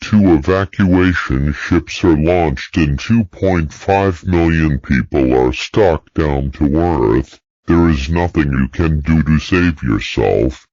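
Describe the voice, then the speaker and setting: Extremely deep, slow man announcing. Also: a little mellow, no echo. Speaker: emergency alert announcer. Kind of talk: scripted doomsday broadcast announcement. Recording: dull lo-fi audio.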